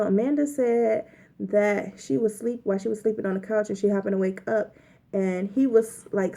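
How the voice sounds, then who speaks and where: A woman's voice: medium pitch, mellow. A young woman vlogger casually telling a story to the camera, chatty and rambling with lots of filler words.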